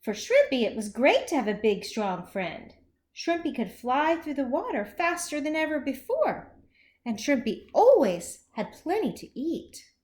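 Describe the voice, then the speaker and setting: A woman reading a children's story aloud as a teacher would, expressively and with deliberate phrasing for young listeners. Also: sits high. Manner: animated, slow, deliberate, with questions to young listeners.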